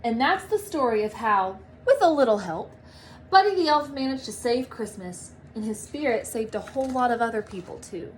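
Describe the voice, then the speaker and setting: A woman reading a children's storybook aloud in an expressive, emphatic manner. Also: on the high side, normal speed, slight background noise, low-quality recording. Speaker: storybook reader, teacher.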